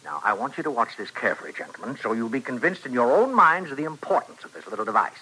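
A man's voice: medium pitch, sing-song, fast talker, bright, forceful tone, slightly rough, full character voice, rough recording. An actor playing a genteel, secretive professor and inventor in a radio western, American, with a courtly, wordy, theatrical showman's delivery. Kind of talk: 1950s radio western acting.

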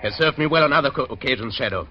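An actor playing a cold-blooded, urbane doctor villain in an old-time radio drama, speaking with measured, polite menace and deliberate hesitations. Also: male voice, mid-range voice, animated, lightly acted. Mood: gloating threat. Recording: dull, noisy old radio recording.

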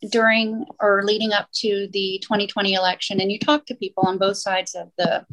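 A woman's voice: mid-range voice, flat delivery, brisk, a bit bright, poor audio. A woman co-hosting a podcast, asking chatty, hesitant interview questions. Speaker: podcast co-host. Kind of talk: casual interview.

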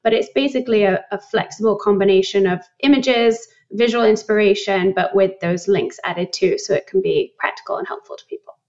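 A young woman from England, a company founder talking in a casual interview, thoughtful and quick to laugh. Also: medium pitch, a little mellow, dull audio. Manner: reflective, laughs often, many likes. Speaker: guest, startup founder.

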